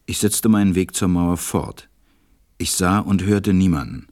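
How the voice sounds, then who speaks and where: A stranded pilot telling his story in a radio play. His voice is low, tender and melancholy. It is recorded dry and close. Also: unhurried, darkish tone, dry recording. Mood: tense narration. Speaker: narrator. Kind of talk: audio drama narration and acting.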